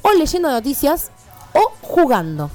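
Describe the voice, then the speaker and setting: A woman in her twenties with an Argentine accent, telling colloquial, animated stories as co-host in a casual radio chat. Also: high voice, unhurried. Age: 20s. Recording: music playing behind. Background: background music.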